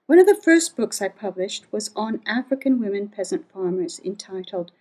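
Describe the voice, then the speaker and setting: A woman's voice: mid-range voice, animated, normal speed, a little mellow. A woman academic narrating a prepared first-person account of research. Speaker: academic researcher, economic geographer.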